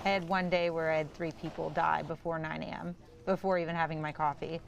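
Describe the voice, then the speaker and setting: A woman with a lowish voice recounts her hospital work in an interview, plain and reflective. There is faint ambient noise behind her. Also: little pitch movement, a little fast. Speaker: hospital healthcare worker. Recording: noisy hospital unit ambience. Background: clear background noise.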